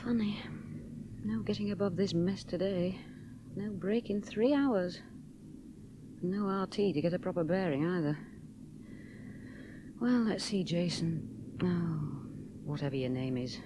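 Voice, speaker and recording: A woman's voice: fairly low pitch, unhurried, long pauses, noisy background, close to an everyday voice. An actress as a rueful woman pilot. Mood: tense. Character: woman aviator, middle-aged, wistful and stubborn. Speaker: pilot in radio drama. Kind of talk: 1970s radio drama acting.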